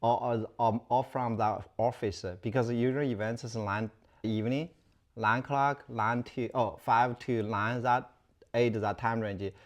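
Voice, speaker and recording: A man in his forties with a Chinese accent speaks casually as an interview guest. His English is non-native in its phrasing, and he tends to repeat short phrases as he explains things. His pitch sits in the middle range for a man. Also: steady tone, unhurried. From rural southwest China. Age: 40s. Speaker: guest, startup founder.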